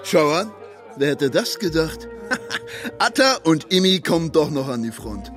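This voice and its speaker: Smug, swooping army recruiter. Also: male voice, a little high, very animated, unhurried, soft background music. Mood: gloating, mocking. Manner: mocking, sing-song at times. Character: smug, taunting male army recruiter enjoying his power. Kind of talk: German radio drama acting.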